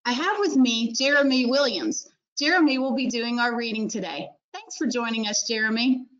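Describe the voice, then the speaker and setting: Higher-pitched woman host on dull video call. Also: moderate pace, a bit bright. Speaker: host introducing a reader. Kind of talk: scripted introduction.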